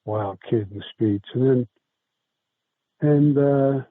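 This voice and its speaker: A man, telling his life story in a rambling, halting way. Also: medium pitch, dry recording. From South Brooklyn, New York. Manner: rambling, halting, many restarts and fillers. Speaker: interview guest. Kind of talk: casual life-story interview. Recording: tinny phone-like dry sound.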